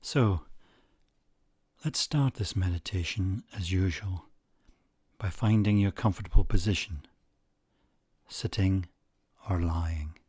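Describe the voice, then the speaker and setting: A man narrating a guided meditation in a measured way, leaving long pauses between short phrases. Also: low voice, a little mellow, slightly rough, dry recording, studio quality.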